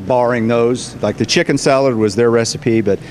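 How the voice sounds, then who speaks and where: Middle-pitched American man, background noise. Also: fairly even intonation, moderate pace, darkish tone. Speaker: cafe owner being interviewed. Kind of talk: casual explanatory interview talk. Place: indoors in a cafe, behind the counter. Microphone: interviewer's handheld microphone. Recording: clear background noise on location.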